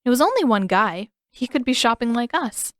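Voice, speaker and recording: A woman's voice: a little high, sing-song, normal speed, no room sound, clean studio sound, voice pushed up. Woman voicing a hopeful, easygoing young boy.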